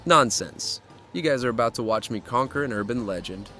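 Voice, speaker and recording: A man plays a daring, self-assured young explorer in a horror audio drama. He talks in a breezy, cajoling way at a middling pitch. Music plays clearly beneath his voice. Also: expressive, brisk, sharp tone. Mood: cocky. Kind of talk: horror audio drama acting and first-person narration.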